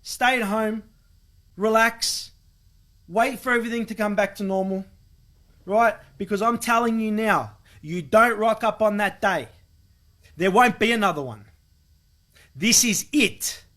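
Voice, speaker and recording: An Australian man with a fairly high-pitched voice rants about politics. He is vehement and emphatic, swearing freely as he drives his points home. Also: a little slow, long pauses, sharp tone. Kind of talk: angry political rant.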